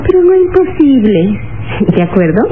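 A woman's voice: on the high side, big pitch swings, moderate pace, background noise. An actress playing a cold-blooded female assassin in a radio serial, commanding and menacing. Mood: menacing, smug. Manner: cool, commanding, menacing, crisp statements. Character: Marilyn, beautiful, cold-blooded female assassin holding captives. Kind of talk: Spanish-language radio serial acting. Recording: tinny old radio serial recording.

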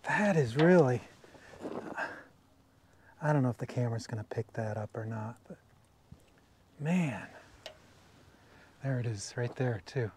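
A man's voice: medium pitch, animated, a little slow, long pauses, a little mellow, a little hiss or hum. A middle-aged man running a sawmill outdoors, giving casual running commentary to a camera on the mill as he works, musing and admiring the wood. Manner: musing, talks to himself, admiring asides. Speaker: sawmill operator. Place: outdoors at a sawmill in a wooded yard. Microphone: action camera on the mill.

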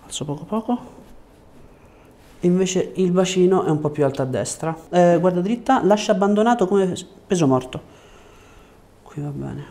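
A man's voice: high-pitched, moderate pace, pauses often, warm tone. A man in his thirties, a chiropractor, giving a patient short, reassuring instructions during a hands-on exam. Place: small treatment room indoors. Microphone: lapel mic.

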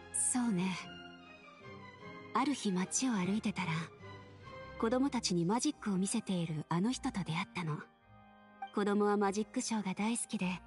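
A woman playing a gentle, wistful middle-aged lady in an anime game, speaking softly and tenderly. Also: mid-range voice, natural voice. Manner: soft, tender, reminiscing. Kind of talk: Japanese anime game voice acting. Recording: faint music behind the voice.

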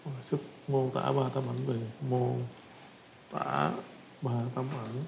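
A man with a Southern Vietnamese accent giving a casual software tutorial, often repeating short phrases as he demonstrates. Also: mid-range voice, big pitch swings, slow pace, vocal fry. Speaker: tutorial instructor. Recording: roomy echo with background noise.

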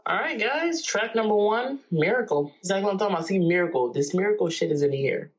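A woman's voice: mid-range voice, quick pace, husky. A young woman from New Jersey who hosts music reaction videos. She chats casually and with animation from a small bedroom, recorded on a close camera. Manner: animated, chatty, hums and sings along.

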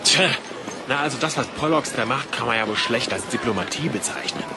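A man's voice: medium pitch, lively intonation, fairly quick, very noisy, lightly acted. An actor playing a cheeky, protective young man in a fantasy audio drama. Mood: indignant.

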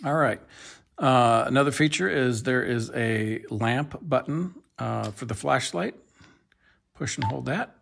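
A man giving a casual, unscripted product review in a home kitchen, with a creaky voice and a halting, plain-spoken manner. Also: medium pitch, fairly bright tone.

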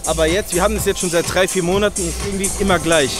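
A man's voice: sits high, fairly even intonation, very fast, over music. A man in his thirties from Germany chatting casually in a slangy vlog, dropping in English phrases. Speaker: fitness vlogger and bodybuilder. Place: on location: beach, massage room, supermarket, kitchen. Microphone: handheld camera at arm's length.

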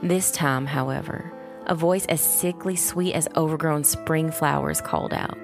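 An actress playing a wary, plucky young witch who narrates her own story in a dark audio drama. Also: low voice, steady tone, a little slow, gravelly, music in the background. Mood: tense, reflective.

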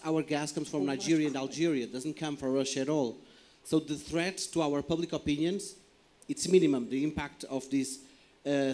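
A man's voice: fairly high pitch, mellow. A man from Portugal speaking in a debate from the audience, earnest and argumentative. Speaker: audience member.

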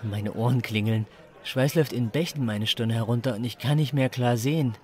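A man's voice: mid-range voice, moderate pace, a little mellow, close to an everyday voice. A man playing a crude, despairing chili judge who complains melodramatically in a comic story. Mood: suffering.

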